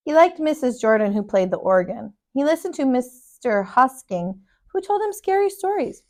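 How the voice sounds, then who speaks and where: A woman reading a children's storybook aloud with deliberate, emphatic, expressive storytelling. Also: sits high. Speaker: storybook reader, kindergarten teacher.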